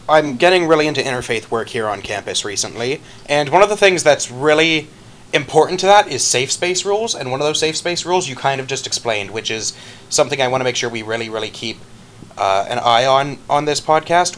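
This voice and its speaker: A young man co-hosting a student podcast, talking earnestly and a bit haltingly with fillers. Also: medium pitch, fairly bright tone, a little hiss or hum. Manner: earnest, measured, with frequent fillers. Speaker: podcast co-host. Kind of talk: casual podcast chat.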